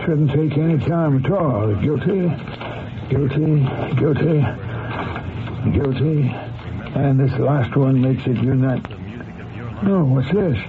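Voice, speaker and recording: A man's voice: medium pitch, slow, long pauses, background noise, close to an everyday voice. An actor playing an officious jury foreman, pressing and exasperated, in an old radio drama. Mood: businesslike. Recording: tinny old radio, music behind.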